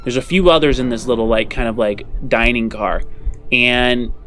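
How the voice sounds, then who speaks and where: A man runs a tabletop roleplaying game as game master. His voice sits a touch high, and he narrates in a halting, conversational way with music playing behind him. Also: pushed and bright. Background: background music.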